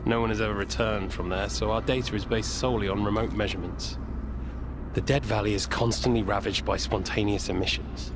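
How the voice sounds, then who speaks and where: An actor playing a weary, resigned scientist with bitter irony, speaking in a measured, explanatory way in a video game cutscene. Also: male voice, fairly low pitch, steady tone, reverberant, background noise, natural voice. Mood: subdued, grave.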